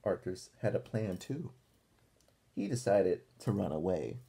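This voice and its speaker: A man reads a children's picture book aloud in a teacherly way. His voice is soft-edged and mellow with a slightly husky grain, and it moves widely in pitch. He goes deliberately and pauses to put questions to young listeners. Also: animated.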